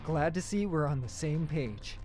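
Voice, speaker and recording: Determined young fantasy hero, acted by a man, raised pitch, formal and dramatic, music behind. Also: expressive, moderate pace, close to an everyday voice. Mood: guarded. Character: earnest, determined young man searching for his stolen love. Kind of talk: fantasy audio drama acting.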